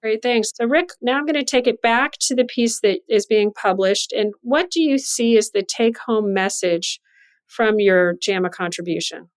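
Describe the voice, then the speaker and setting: A woman's voice: a little high, moderate pace, clear, resonant tone. A woman hosting a podcast interview, introducing guests and putting questions to them. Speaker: podcast host and journal editor.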